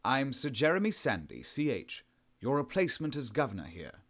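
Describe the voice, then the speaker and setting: Man acting late middle-aged English gentleman governor, Southern English accent, posh, courteous, pompous, audiobook character. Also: medium pitch, very animated, moderate pace, voice pushed up. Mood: amiable, formal.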